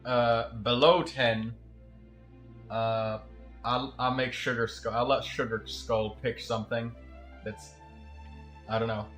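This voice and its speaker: A man with a bright, edgy voice at a middle pitch, chatting casually on a game livestream. He rambles and halts through his story, with faint music underneath. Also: little pitch movement, takes it slowly, long pauses. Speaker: game streamer.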